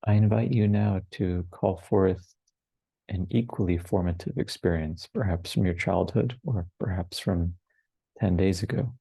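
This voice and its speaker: A man in his forties leading a guided meditation in a hushed, unhurried way, with long pauses between short phrases. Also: low voice, creaky, slightly rough, no room sound, poor audio. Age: 40s. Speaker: meditation teacher. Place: online retreat over video call, dry close sound.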